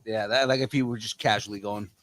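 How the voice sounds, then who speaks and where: A man's voice: on the low side, steady tone, fast talker, fairly bright tone. A man in his mid-thirties hosting a podcast, chatting casually and repeating words as he starts sentences. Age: 30s. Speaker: podcast host.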